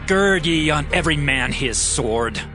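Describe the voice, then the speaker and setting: A man's voice: on the high side, expressive, measured pace. A man playing a commanding, aggrieved warrior leader with declamatory delivery in a dramatized Bible. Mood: angry, resolute. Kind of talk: dramatized audio Bible acting in archaic English. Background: music.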